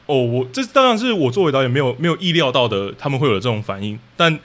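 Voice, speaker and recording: Fast-talking man with Taiwanese Mandarin accent. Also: mid-range voice, big pitch swings, fairly bright tone. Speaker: guest, radio drama director and adapter. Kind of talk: casual interview talk.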